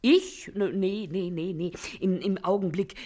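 A woman's voice: lowish voice, mellow. An actress playing a shrewd, middle-aged working-class washerwoman with a Berlin accent in a German stage comedy, blustering and folksy. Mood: sly, cautious. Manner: blustering, folksy, quick-witted.

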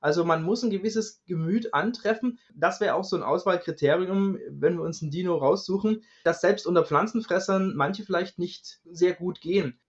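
Higher-pitched male expert, slightly dull sound. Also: normal speed, hardly any pauses. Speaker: dinosaur researcher, guest expert. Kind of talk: explanatory interview answers.

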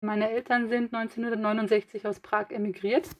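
A woman's voice: a little high, flat delivery, brisk. A woman scholar talking fluently and personally about childhood memories in an interview. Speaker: interviewee, Slavic studies scholar. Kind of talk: casual interview recollection.

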